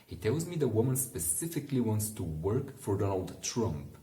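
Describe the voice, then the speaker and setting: A man reading a bedtime book aloud in a relaxed, informal way, pausing to muse with casual asides. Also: fairly low pitch, reverberant. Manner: unhurried, musing, informal. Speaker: bedtime story reader and commentator. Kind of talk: relaxed read-aloud with casual asides to help listeners sleep.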